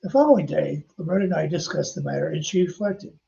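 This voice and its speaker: Gravelly older man telling anecdotes. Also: on the high side, quick pace, dark tone, highs cut off. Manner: anecdotal, chatty, addresses listeners directly. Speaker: podcast host and author. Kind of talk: casual storytelling narration.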